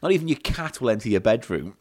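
A man's voice: medium pitch, big pitch swings, quick pace. A middle-aged man, a little tipsy, co-hosting a bawdy podcast chat in a giggly, crude, teasing way. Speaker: podcast co-host.

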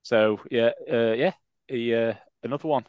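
A man with a Sheffield accent, co-hosting a casual football podcast, self-deprecating and quick to laugh. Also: medium pitch, clear, resonant tone, no echo, phone-quality sound. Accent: Sheffield English. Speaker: podcast co-host. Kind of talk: casual chat.

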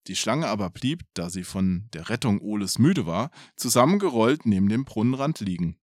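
A man's voice: lowish voice, sing-song, moderate pace, no room sound, clean studio sound. A man reading a folk tale aloud expressively as a podcast storyteller, with casual asides.

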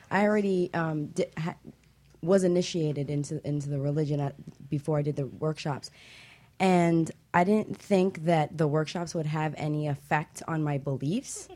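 Lower-voiced woman, reflective and halting. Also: steady tone, warm tone. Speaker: talk show panelist. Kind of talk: casual personal testimony.

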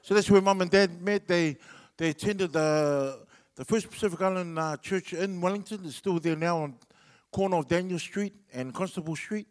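A man's voice: sits high, moderate pace. Laid-back New Zealand man, echoing hall. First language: Samoan. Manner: laid-back and rambling, with many fillers. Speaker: comedian. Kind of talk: stand-up storytelling.

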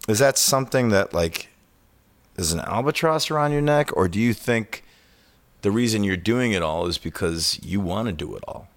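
A man's voice: fairly low pitch, animated, moderate pace. A man in his early fifties asking questions in a casual, conversational interview. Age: early 50s.

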